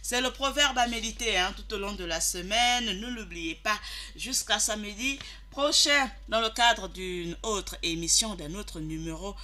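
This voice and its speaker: A man's voice: high voice, unhurried, pushed and bright. A man presenting a radio show, teaching listeners directly and repeating key phrases for emphasis. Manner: didactic, addresses listeners directly. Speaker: radio host.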